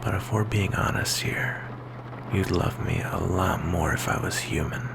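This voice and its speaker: A man playing a melancholy, insecure vampire boyfriend in an ASMR roleplay, speaking softly and hesitantly in a gravelly whisper. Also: low voice, noisy background. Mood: wistful, apologetic. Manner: soft, hesitant, intimate, slow with pauses.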